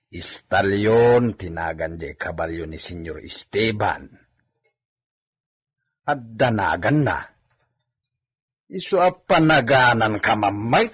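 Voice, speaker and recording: A man's voice: lowish voice, expressive, takes it slowly, long pauses, a bit bright, husky, exaggerated voice. A man playing a cheeky, boastful village horseman with an Ilocano accent in a comedy radio drama, chuckling and talking to himself. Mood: pleased, musing.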